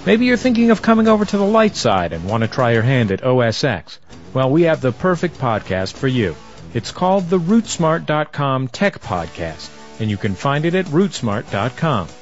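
A man's voice: mid-range voice, big pitch swings, moderate pace. A man reading an upbeat, salesy scripted promo for a tech podcast. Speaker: promo announcer. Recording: dull lo-fi audio with guitar music behind.

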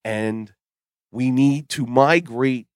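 A man's voice: mid-range voice, takes it slowly, clean tone. A middle-aged man hosts a tech podcast while he fights a cold, his voice worn down. He rambles in a chatty way, with fillers and false starts. The sound is dry and close, with no room echo. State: sick with a cold, losing his voice. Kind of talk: casual solo podcast talk.

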